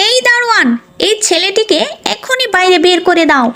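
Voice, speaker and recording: A woman voicing a haughty, scolding rich mother in an animated moral story. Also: very high-pitched, normal speed, exaggerated voice. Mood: angry, scornful. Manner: scolding, bossy, dismissive.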